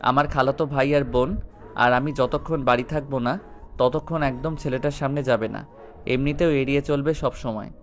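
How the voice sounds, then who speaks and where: A man playing a protective, possessive young husband in a romantic audio story, measured and tender. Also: medium pitch, steady tone, close to an everyday voice. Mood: caring, firm. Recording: music behind the voice.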